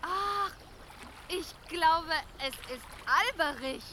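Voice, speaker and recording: A woman's voice: very high-pitched, lively intonation, very slow, bright, forceful tone, a little hiss or hum, exaggerated voice. A woman playing a playful, teasing water spirit in a German fantasy radio drama. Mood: curious. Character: Rhinemaiden, fish-like female water spirit, playful sister.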